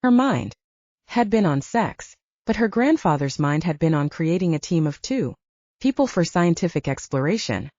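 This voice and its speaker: A woman's voice: lowish voice, very animated, normal speed, warm tone, no echo. A woman reading scripted fiction as an audiobook narrator. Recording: dull, slightly lo-fi, dry recording.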